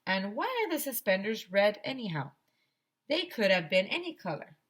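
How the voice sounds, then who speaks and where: A middle-aged woman reading a children's story aloud like a teacher, with expressive, theatrical emphasis into a headset microphone. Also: mid-range voice, moderate pace. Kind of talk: animated children's story read-aloud in a schoolboy's first person. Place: small decorated room indoors.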